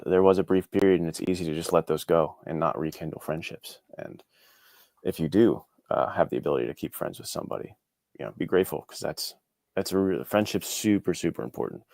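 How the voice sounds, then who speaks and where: A man's voice: rumbling low, vocal fry, darkish tone, no echo. A man speaking earnestly and encouragingly as an interview guest, giving reflective answers in a casual, conversational way. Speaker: interview guest, indie author.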